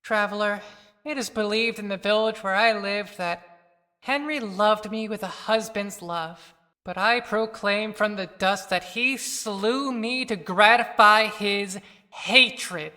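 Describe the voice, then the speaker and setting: A woman's voice: medium pitch, sharp tone. A woman playing a wronged young wife who speaks solemnly from the grave in a poetic audio play. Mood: solemn, accusing. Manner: solemn, deliberate, declamatory. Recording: long hall reverb.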